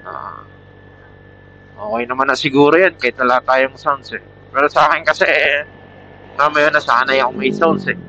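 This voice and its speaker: A man's voice: medium pitch, animated, normal speed, pauses often, sharp tone, slight background noise. A man with a Filipino accent chatting casually as a motovlogger while riding, recorded through a helmet intercom mic. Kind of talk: casual rambling vlog talk with product pitch. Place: outdoors, riding a motorcycle at night. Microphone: Bluetooth helmet intercom mic. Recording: muffled helmet intercom mic, band-limited.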